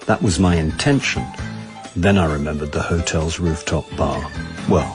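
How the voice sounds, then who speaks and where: Deep, wry English sleuth, played by a man. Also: over music, natural voice. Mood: reflective. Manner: dry, deliberate, understated. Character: wry middle-aged amateur sleuth, dogged and droll. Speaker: narrator and lead actor. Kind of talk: radio drama dialogue and first-person narration.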